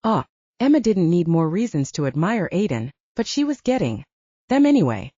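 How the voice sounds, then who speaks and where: A woman's voice: lowish voice, sing-song, moderate pace, warm tone, no echo, lo-fi sound. A woman reading a romance novel aloud as a scripted audiobook narrator.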